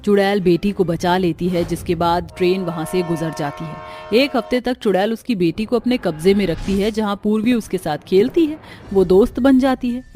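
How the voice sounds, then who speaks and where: A woman narrating a cartoon horror story in an even, dramatic storyteller's manner. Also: mid-range voice.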